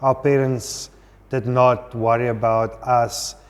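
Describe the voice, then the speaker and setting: A man's voice: medium pitch, level tone, slow pace, in a large hall. A man from South Africa whose first language is Afrikaans, answering interview questions in an easygoing way. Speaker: guest.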